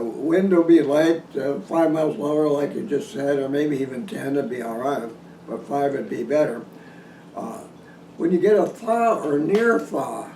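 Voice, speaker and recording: A man giving an informal instructional hunting talk in a halting, folksy way, repeating and restarting his phrases. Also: a little high, warm tone. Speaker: deer hunting instructor hosting a video seminar. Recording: roomy echo, distant mic, some noise.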